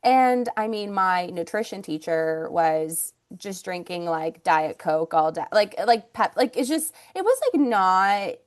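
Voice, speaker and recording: A woman's voice: lowish voice, animated, measured pace, hardly any pauses, clean tone. A woman in her thirties from Ukraine, whose first language is Russian, talking as an interview guest about her path into naturopathic medicine and acupuncture, chatty and full of restarts. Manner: chatty, many fillers and restarts. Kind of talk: casual interview storytelling.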